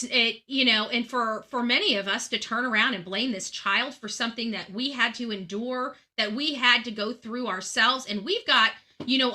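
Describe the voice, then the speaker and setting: A woman's voice: fairly high pitch, sharp tone. A woman hosting a true crime channel, speaking in an earnest monologue to her audience and repeating phrases emphatically for stress.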